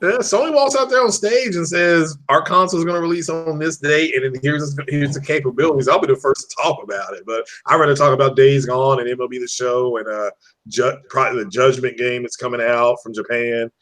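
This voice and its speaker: A man's voice: mid-range voice, fairly quick, a bit bright. A man co-hosting a podcast in casual chat, joking and skeptical in the way he talks. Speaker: podcast co-host.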